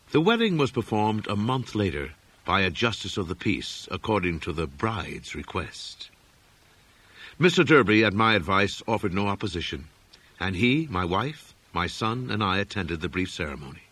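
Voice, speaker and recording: A man reading a gothic horror story aloud as an audiobook narrator, in a measured, formal, expressive manner. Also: fairly low pitch, breathy edge, dry recording.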